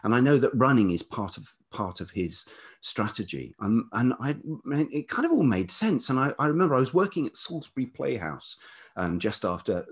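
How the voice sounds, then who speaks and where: A middle-aged British man with a velvety voice, talking reflectively and haltingly as an interview guest, often circling back on his thoughts. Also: medium pitch, sing-song, husky. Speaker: podcast guest, writer and radio producer.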